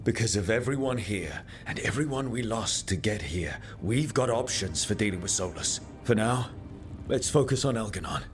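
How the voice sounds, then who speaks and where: An actor playing a steady, determined heroic leader in a fantasy game, speaking earnestly and resolutely. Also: male voice, fairly low pitch, normal speed, over music, natural voice. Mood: commanding. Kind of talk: dramatic video game acting.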